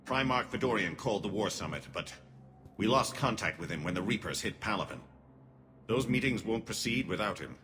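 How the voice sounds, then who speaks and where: A man playing a formal, measured alien councilor in a video game cutscene. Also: fairly low pitch, expressive, a bit bright, a little hiss or hum. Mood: grave, measured.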